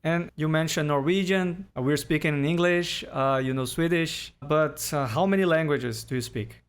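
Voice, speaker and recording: A man interviewing a guest. He has a fairly high voice and asks friendly questions, with short, encouraging replies between them. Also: moderate pace, clear, resonant tone. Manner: friendly and encouraging, brief acknowledgements.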